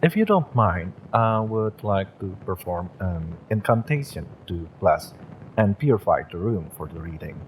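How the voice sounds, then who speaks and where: A man acting a gentle, courteous witch who runs a magick shop and reads tarot, speaking politely and a little hesitantly in a roleplay audio. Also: deep voice, sing-song, measured pace, a little hiss or hum, poor audio. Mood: friendly, musing. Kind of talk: roleplay audio, acted monologue to the listener.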